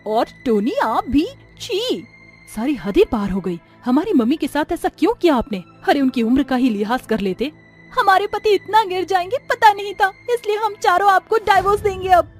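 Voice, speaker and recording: A woman voicing an outraged young wife in an animated storybook tale, shocked, shrill and accusing. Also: normal speed, soft background music. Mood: furious accusing. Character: outraged young wives confronting misbehaving husbands.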